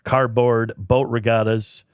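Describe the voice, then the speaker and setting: A man appearing as a guest on a podcast, telling stories in a relaxed, hesitant way with many uhs. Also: medium pitch, takes it slowly, clean tone, dry recording, clean studio sound. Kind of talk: casual chat.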